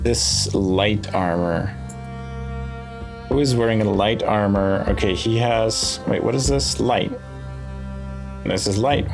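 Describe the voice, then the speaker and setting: A man's voice: on the low side, vocal fry. A man giving casual playthrough commentary on a game, thinking aloud as he decides, with game music behind him. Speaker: gaming video host.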